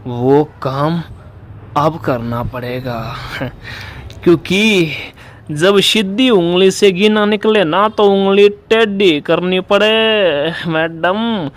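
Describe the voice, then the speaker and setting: A man playing a boastful, scheming lover with a Rajasthani accent in a mimicked phone-call comedy skit. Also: fairly high pitch. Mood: menacing, devoted. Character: swaggering, scheming lover wooing a married woman.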